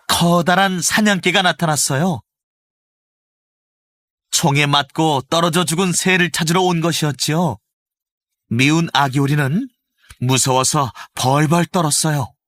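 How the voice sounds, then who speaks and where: Expressive male storybook narrator. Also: fairly high pitch, dry recording. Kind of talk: scripted storybook narration for children.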